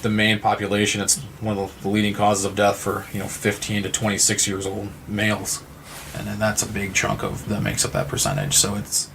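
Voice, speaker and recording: A man with a fairly low voice gives conversational interview answers. He starts, stops and repeats words as he works toward his point. Faint noise and room ambience sit behind him. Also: vocal fry. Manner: halting, repeats words and restarts. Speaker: guest from a suicide-loss nonprofit.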